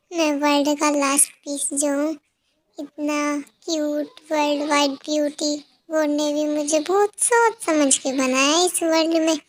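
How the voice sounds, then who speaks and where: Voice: sits high, low-quality recording. Someone putting on a brash, vain mermaid woman. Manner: brash, boastful, street slang. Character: vain sassy female mermaid.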